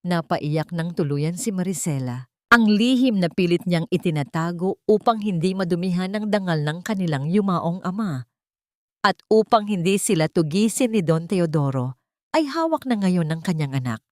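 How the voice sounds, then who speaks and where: A woman's voice: on the low side, lively intonation, moderate pace, dry recording, studio quality. A woman with a Filipino accent reading a scripted dramatic story as its narrator.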